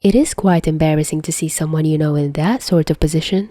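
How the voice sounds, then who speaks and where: Unruffled, elegant gentleman for audio fiction, played by a woman: polite, understated, lower-pitched, dry close sound. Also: fairly even intonation, a little fast, no echo. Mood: calm, unruffled. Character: graceful, composed, unflappable man, politely indulgent. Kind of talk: hobby audio fiction acting.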